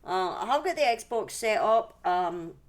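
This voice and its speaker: A Scottish woman hosts a casual livestream from home, talking at a middling pitch. Her delivery is conversational and meandering, with sentences that break off and pick up again as she thinks aloud. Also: expressive, measured pace. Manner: rambling, self-interrupting, conversational. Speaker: livestream host and storyteller. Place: indoors at home.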